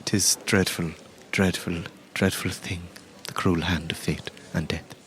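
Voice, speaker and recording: Man playing a low-voiced, courteous older mourner. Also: steady tone, a bit bright, a little hiss or hum. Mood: courteous, mournful. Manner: halting, effusively polite, repeats words. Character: courteous older mourning fisherman's friend at a wake. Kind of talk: improvised roleplay in an audio story. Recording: music behind the voice.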